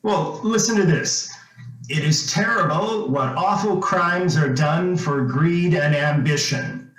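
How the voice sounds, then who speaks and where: A man playing a folksy, blunt lawman with halting delivery in an old-time radio suspense play. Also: on the high side, a bit bright. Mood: grave. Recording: echoey room with faint music.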